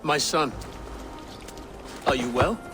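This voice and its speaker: An actor playing an earnest, worried father and new king, speaking formally and gravely in a video game. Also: on the high side, takes it slowly, music in the background. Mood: earnest concern.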